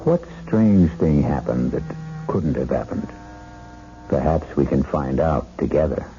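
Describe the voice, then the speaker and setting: A man's voice: rumbling low, animated, unhurried, mellow, slight background noise. A man hosting a 1970s radio mystery with grave, scripted narration, on a muffled, humming vintage broadcast. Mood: ominous, inviting. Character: grave mystery host posing eerie questions. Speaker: host.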